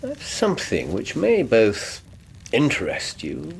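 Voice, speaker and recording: An actor playing a brisk, wry English gentleman detective in a radio drama, precise and given to musing hums. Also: mid-range voice, huge pitch swings, vocal fry, husky, full character voice. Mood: wry, reminiscing.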